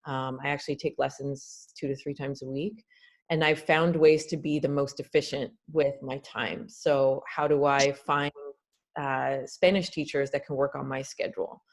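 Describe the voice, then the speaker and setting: A woman's voice: extremely low pitch, fairly even intonation, normal speed. A woman from Canada, a guest doctor answering webinar questions on a video call, earnest and explanatory with frequent fillers.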